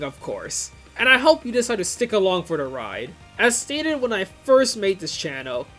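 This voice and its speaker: Video creator, scripted commentary, medium pitch, animated intonation, wry and informal, background music. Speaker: video creator reviewing visual novels.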